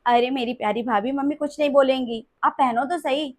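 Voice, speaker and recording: A woman voicing a lively young sister-in-law in a family drama skit, coaxing and teasing. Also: high-pitched, fairly quick.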